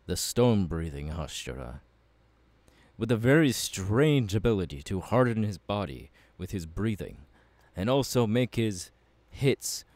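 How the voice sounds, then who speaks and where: A man's voice: on the low side, darkish tone, dry recording. A man playing a passionate, courteous young warrior mentor in an ASMR audio roleplay, speaking in an earnest, formal and encouraging way.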